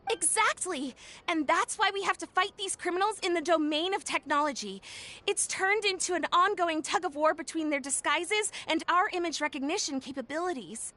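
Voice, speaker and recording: A woman voicing an enthusiastic young female tech inventor in a video game, with an upbeat pitchman's patter. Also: normal speed, bright, forceful tone. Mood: determined. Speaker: game character.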